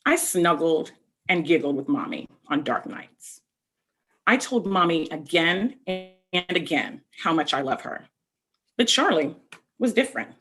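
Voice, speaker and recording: A woman reading a picture book aloud to children, expressively, pausing to explain things directly to her young listeners. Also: deep voice, big pitch swings, fairly bright tone, soft background music. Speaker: read-aloud storyteller.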